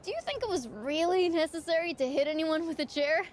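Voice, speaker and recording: A woman's voice: extremely high pitch, a little fast, a little hiss or hum. An actress playing a plucky, principled young female pro wrestler in a video game cutscene, earnest, emphatic and colloquial. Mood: hesitant.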